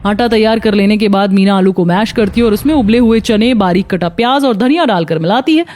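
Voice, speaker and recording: A woman's voice: mid-range voice, brisk, a little mellow, a little hiss or hum. A woman narrating a scripted children's moral story.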